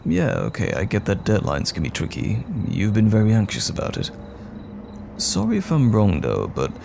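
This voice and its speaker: A man acting as a tender, worried boyfriend in an intimate audio roleplay, speaking gently and soothingly to comfort a troubled partner at night. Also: fairly low pitch, creaky, slight background noise, exaggerated voice. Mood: reassuring. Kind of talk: intimate roleplay audio drama, soft bedside talk.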